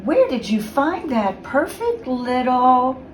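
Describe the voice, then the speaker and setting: Lady doing a kindly storybook pig mom, sweet and encouraging, slow, roomy sound. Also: sits high, very animated, voice pushed up, heavily acted. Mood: delighted. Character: kindly, doting mother pig. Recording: roomy, distant mic, faint noise.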